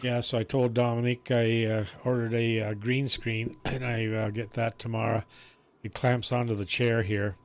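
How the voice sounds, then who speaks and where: Male host, mid pitch, easygoing and halting with fillers. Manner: relaxed and halting, with many fillers. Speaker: host and live streamer. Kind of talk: casual on-air chat.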